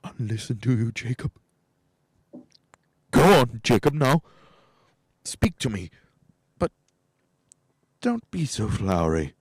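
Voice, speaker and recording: A man playing a nervous, crotchety old miser in a radio play, husky and faltering, with a theatrical manner. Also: mid-range voice, very animated, takes it slowly, long pauses, a little mellow, no echo, full character voice. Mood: fearful, pleading.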